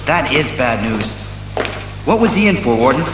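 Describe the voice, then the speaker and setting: An actor playing a serious, commanding masked detective hero, earnest and deliberate, in an audio drama. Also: male voice, mid-range voice, big pitch swings, over music, close to an everyday voice, poor audio. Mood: intent.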